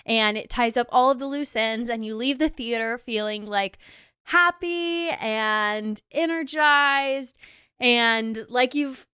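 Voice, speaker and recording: A young woman in her twenties talks through a solo podcast episode in a gushing, chatty way, leaning on "like" as filler. Her voice sits high and comes across smooth and clear. The sound is dry and close, with no room around it. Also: slow, sharp tone, no room sound. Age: 20s. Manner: gushing, chatty, heavy use of "like". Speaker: podcast host. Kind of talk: casual solo podcast chat.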